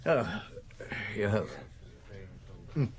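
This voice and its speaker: A middle-aged police inspector in an audio drama, with a low voice that moves around expressively. He is conversational and affable, yet shrewdly probing, pausing to muse. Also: lively intonation, crowd noise, heavily acted. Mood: genial, probing. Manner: conversational, probing, with musing hesitations and chuckles.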